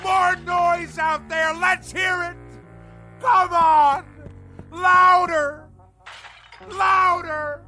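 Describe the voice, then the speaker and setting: An actor in a radio drama playing a pompous, manic husband obsessed with the navy, declaiming in grandiose nautical bombast. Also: male voice, extremely high pitch, little pitch movement, drawn-out words, long pauses, bright, forceful tone, raised voice, faint music behind, full character voice. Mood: panicked, shouting. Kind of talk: theatrical radio drama acting.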